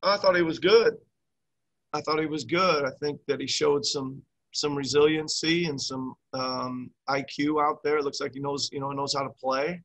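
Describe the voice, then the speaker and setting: A man's voice: a little high, fairly even intonation, moderate pace, a bit bright, low-quality recording. A middle-aged man, a basketball head coach, answering questions at a post-game press conference over a video call, rambling and restarting his sentences. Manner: rambling, repeats words and restarts. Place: small room before a team backdrop. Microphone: video call webcam.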